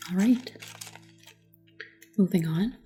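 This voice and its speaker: A soft, breathy woman gently giving calm, reassuring instructions in an ASMR roleplay, often dropping to a whisper. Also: mid-range voice, slow, soft background music. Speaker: ASMR roleplayer as a test examiner. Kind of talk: soft-spoken ASMR personal attention roleplay.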